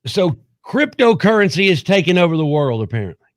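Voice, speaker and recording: A man hosting a morning radio show, bantering and laughing easily between news bits. His voice sits a little high. The studio sound is dry and close. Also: sing-song, brisk, dry recording. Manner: joking, laughs often, reads news stories aloud. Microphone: studio microphone.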